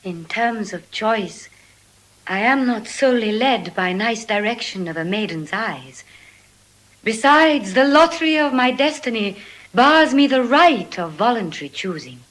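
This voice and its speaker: Poised noble heiress, slow measured speech. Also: female voice, on the high side, expressive, a bit bright, poor audio. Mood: poised, gracious. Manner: formal, composed. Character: poised, dutiful noble young heiress receiving suitors. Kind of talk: measured Shakespearean verse acting.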